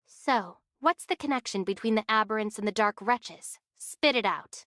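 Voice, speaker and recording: An actress playing an earnest, protective young heroine in an anime game, with a direct, questioning manner. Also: female voice, mid-range voice, expressive, normal speed, a bit bright, no room sound, clean studio sound, close to an everyday voice. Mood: suspicious, demanding.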